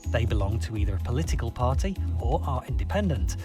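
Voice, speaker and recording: A narrator reading a scripted educational explainer in an even, measured way, played from a video. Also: medium pitch. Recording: played from a video, with music behind.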